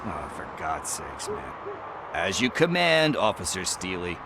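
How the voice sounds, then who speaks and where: Game role: low-voiced junkie snitch, slangy and wheedling, with street noise clearly behind. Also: male voice, sing-song, a little slow, exaggerated voice. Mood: sheepish. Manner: slangy, folksy, hesitant street talk. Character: chatty, wheedling male junkie street informant and petty thief. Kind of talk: video game cutscene acting.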